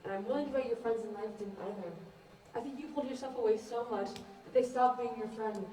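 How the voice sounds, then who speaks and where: A woman's voice: a little high, a little fast, warm tone, natural voice. A young woman acting a blunt, sardonic character in a student stage play. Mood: confrontational. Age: young adult. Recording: echoey room, far mic, faint noise.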